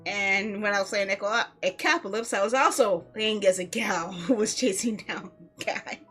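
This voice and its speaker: A woman's voice: mid-range voice, moderate pace, fairly bright tone, breathy edge. A woman hosting a video game livestream, chatting casually with viewers in a playful, giggly way. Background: music.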